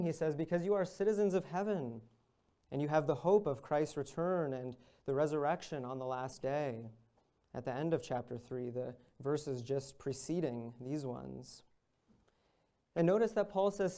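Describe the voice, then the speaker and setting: A young man, a visiting minister, preaching a sermon at a pulpit in an earnest, measured way that rises on exhortations. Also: fairly high pitch, warm tone. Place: church room with large windows, at a pulpit.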